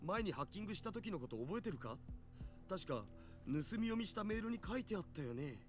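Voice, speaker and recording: A man's voice: sits high, sing-song, rapid-fire, faint music behind. An actor playing an uneasy young male hacker sidekick in a visual novel, talking casually and hesitantly.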